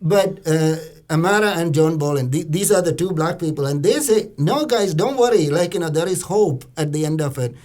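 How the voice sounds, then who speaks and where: A man's voice: fairly high pitch, fairly quick, hardly any pauses, a little mellow. A man hosting a conversational interview, talking in a discursive way with many a "you know". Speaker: host.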